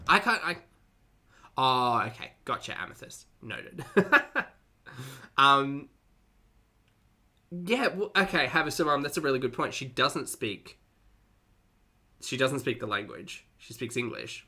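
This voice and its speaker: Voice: medium pitch, sharp tone. A streamer giving casual, wry live commentary to a chat, often chuckling and repeating phrases. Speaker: streamer reacting to videos and chatting with viewers.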